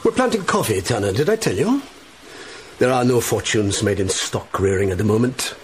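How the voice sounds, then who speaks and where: An actor playing a breezy, feckless Swedish baron and husband in a radio drama. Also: male voice, on the low side, expressive, moderate pace, a little hiss or hum, natural voice. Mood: breezy, persuasive.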